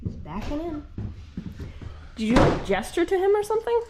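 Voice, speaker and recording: A woman's voice: extremely high pitch, big pitch swings, moderate pace. A woman who works on a dairy farm, talking casually to viewers with a stuffy, congested voice from a cold. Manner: chatty, talks straight to viewers. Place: dairy barn, with calves and cows. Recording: noisy barn recording.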